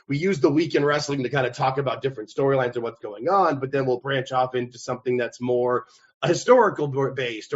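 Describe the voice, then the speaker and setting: A man talking as a podcast guest, energetic and rambling, often restarting his sentences. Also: on the high side. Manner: energetic, rambling, self-correcting. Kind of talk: casual interview chat. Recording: dull lo-fi sound.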